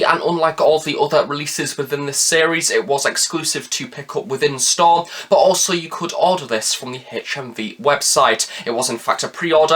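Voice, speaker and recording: A man narrates a semi-scripted review as the host, in a measured, emphatic presenter style with formal phrasing. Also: medium pitch, bright, forceful tone. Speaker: product reviewer and channel host.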